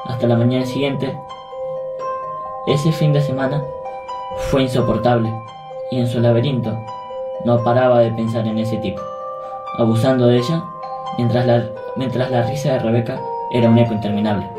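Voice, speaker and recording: A man's voice: mid-range voice, flat delivery, moderate pace, pauses often, over loud music. A man with an Argentine accent solemnly narrating a scripted radio drama.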